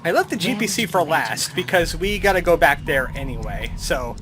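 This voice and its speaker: A man's voice: fairly high pitch, expressive, moderate pace, background noise. A man giving casual commentary as he plays through a video game. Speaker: let's player.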